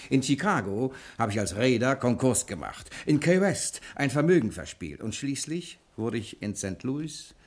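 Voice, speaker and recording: A man acting a confident, adventurous bookkeeper in a 1960 German radio drama, speaking briskly and persuasively with a commanding manner. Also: medium pitch, big pitch swings. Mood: boastful, storytelling.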